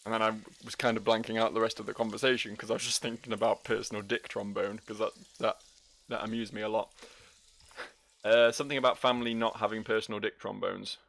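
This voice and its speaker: A man's voice: lowish voice, flat delivery, quick pace, creaky, fairly bright tone. A man giving joking, sweary, rambling commentary as he plays through a game. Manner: rambling, dryly silly. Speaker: gaming video host.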